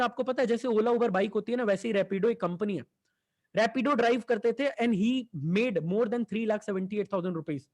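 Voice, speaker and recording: Young guy, really high voice, hyping up a work from home deal, switches between hindi and english. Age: 20s. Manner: enthusiastic, persuasive, mixing Hindi and English. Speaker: online business team leader. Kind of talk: sales pitch session.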